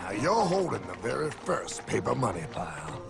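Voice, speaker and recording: A man's voice: on the low side, sing-song, normal speed, creaky. A man with an American accent voicing a brash, theatrical, streetwise cartoon mentor who preaches and talks cockily. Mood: cocky. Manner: dramatic, cocky, preachy, calls listener pal. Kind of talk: animated explainer cartoon acting. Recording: music bed behind the voice.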